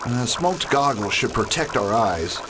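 An actor playing a cautious, analytical scientist on a starship in a radio drama, explaining things in technical terms. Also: male voice, medium pitch, animated, unhurried, lightly acted. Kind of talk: space opera radio drama acting. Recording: very loud engine noise behind.

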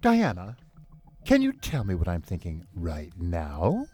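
Man playing a lively, flirtatious first officer. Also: deep voice, unhurried, heavily acted. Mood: lecherous. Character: lecherous, flippant male starship first officer. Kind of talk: comedic radio theatre parody acting.

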